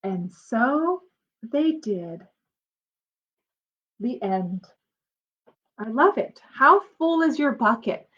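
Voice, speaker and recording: A woman reading a children's storybook aloud in an expressive way, drawing out her emphasis and pausing to explain things to young listeners, like a teacher. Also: on the high side. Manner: animated, drawn-out emphasis, explains to children as she goes. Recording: dull lo-fi sound.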